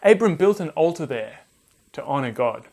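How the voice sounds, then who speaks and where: A man hosting and narrating a scripted Bible story for children in an expressive manner, addressing listeners directly. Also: on the high side, normal speed. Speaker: storyteller and host.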